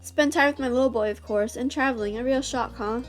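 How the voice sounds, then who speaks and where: Woman voicing a friendly mother, light teasing tone, high voice, stuffy nose, visual novel reading. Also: brisk, faint music behind, natural voice. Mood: breezy. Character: friendly relaxed mother of a teenage boy.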